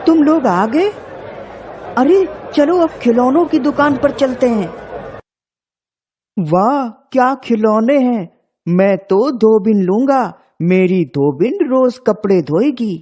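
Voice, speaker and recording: Eager young village boy, cartoon story, played by a woman: childlike, boastful, mellow tone, unhurried pace. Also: on the high side, expressive, slightly rough, some chatter behind, heavily acted. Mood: excited.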